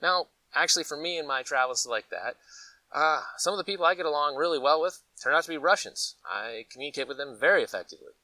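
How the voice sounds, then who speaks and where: Animated American man with a bright, forceful voice. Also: a little high, quick pace, no echo, far from the mic, rough recording. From Colorado, United States. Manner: animated, folksy, conversational storytelling with acted-out asides. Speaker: host of a preparedness gear channel. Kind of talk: casual rambling vlog chat.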